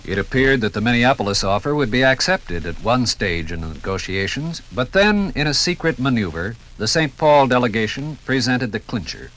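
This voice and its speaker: A man's voice: mid-range voice, big pitch swings, moderate pace, few pauses, fairly bright tone, poor audio. A man giving theatrical, rhetorical scripted narration as the narrator of a 1950s radio documentary.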